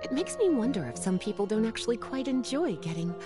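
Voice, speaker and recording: A woman's voice: on the high side, big pitch swings, a little fast. An actress playing a teasing, sultry witch librarian in a video game, speaking in a coy, languid manner. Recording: game audio with clear music.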